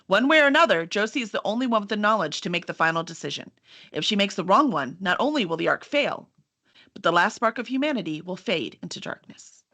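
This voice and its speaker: A woman hosting as a publisher in an informal, chatty and expressive way. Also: mid-range voice. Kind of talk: casual hosting and interviewing, with read-aloud book copy.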